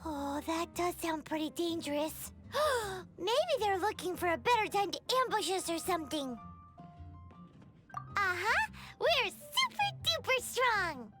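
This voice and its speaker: A woman voicing a tiny, childlike fairy companion in a video game, squeaky, chirpy, excitable and naive. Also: a little slow, soft background music, exaggerated voice. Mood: chirpy, curious. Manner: chirpy, speaks in third person.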